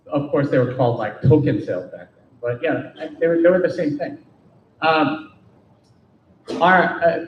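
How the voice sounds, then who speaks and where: A man speaking bluntly at a meetup, firing rhetorical questions at the audience, heard from a distance. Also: fairly high pitch. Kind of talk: meetup Q&A talk. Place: meeting room. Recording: roomy, distant, dull lo-fi sound with faint noise.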